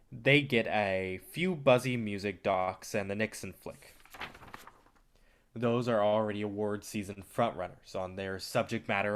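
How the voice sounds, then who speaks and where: A man playing an arrogant, impatient and foul-mouthed film distributor in a staged play reading, blunt and cocky. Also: on the low side.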